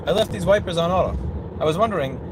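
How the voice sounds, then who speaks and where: A man's voice: high voice, lively intonation, fast talker. A man in casual, argumentative conversation, blunt and sweary, talking inside a moving car. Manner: blunt, sweary, argues by analogy. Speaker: repair technician hosting a livestream. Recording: clear road and engine noise in car.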